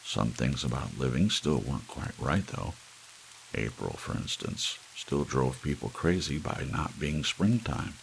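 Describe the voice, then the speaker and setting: Very low male voice, heavy vocal fry, animated intonation, ASMR audiobook narration, light rain behind. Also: unhurried, poor audio. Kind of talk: scripted story narration, ASMR. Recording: faint rain hiss throughout. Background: soft rain.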